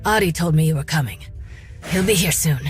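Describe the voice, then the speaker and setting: Casting: woman to play no-nonsense rebel ally for a game, slightly low voice, music underneath. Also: brisk, lightly acted. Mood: matter-of-fact. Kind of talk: video game cutscene acting.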